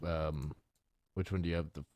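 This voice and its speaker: A man's voice: very deep voice, fairly even intonation, normal speed, a little mellow. A young man in casual podcast banter, crude, slangy and foul-mouthed as he chats as co-host.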